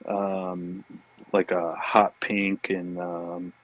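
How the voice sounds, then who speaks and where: Low, slightly husky man chatting casually. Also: flat delivery, extremely slow, a bit bright, highs cut off. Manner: laid-back, slangy, repeats himself. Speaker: podcast guest, fishing tackle marketer. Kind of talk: casual fishing chat.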